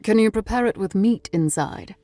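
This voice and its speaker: A woman voicing a cultured British gentleman barrister in an audiobook, precise, courteous and aloof. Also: medium pitch, normal speed, no echo, pitched above the performer's own voice, natural voice. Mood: fastidious. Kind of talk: audiobook character voice.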